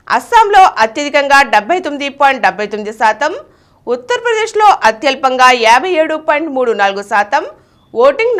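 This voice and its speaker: A woman reading scripted news in a formal, clearly enunciated manner. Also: sits high. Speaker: news reader.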